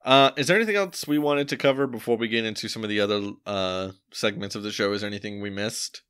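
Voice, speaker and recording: A man hosting a film podcast in casual chat, laid-back and quick to laugh, steering the talk into each segment. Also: fairly low pitch, a bit bright, clear, resonant tone. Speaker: podcast host.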